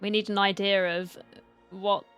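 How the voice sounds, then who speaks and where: A woman's voice: mid-range voice, flat delivery, unhurried, fairly bright tone, faint music behind. A woman gaming streamer giving a casual, chatty live reaction, talking back to the characters.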